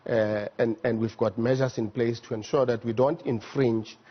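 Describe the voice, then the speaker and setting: A man with a South African accent explaining things methodically and haltingly as an expert guest in a studio interview. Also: medium pitch, fairly even intonation, lo-fi sound. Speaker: air traffic management executive, interview guest. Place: TV news studio.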